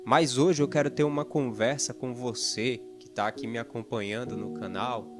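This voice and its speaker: A man from northeastern Brazil, a video editor telling his personal story to his channel's viewers in an earnest, conversational way, pausing often with fillers. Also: mid-range voice, fairly even intonation. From Fortaleza, Ceará, Brazil. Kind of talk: personal testimony to camera. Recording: music playing underneath.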